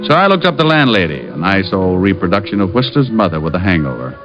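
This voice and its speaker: A man's voice: sits low, very animated, fast talker, over music. A man playing a wisecracking, sardonic private detective in an old-time radio drama, dry and flippant. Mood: wry narration. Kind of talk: 1940s-50s radio detective acting and first-person narration. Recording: muffled old radio recording.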